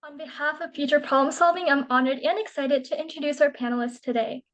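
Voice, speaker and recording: A young woman introduces the panelists with a formal, prepared delivery. Her voice is high and she talks quickly. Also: steady tone, fairly bright tone, dull audio. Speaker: student moderator.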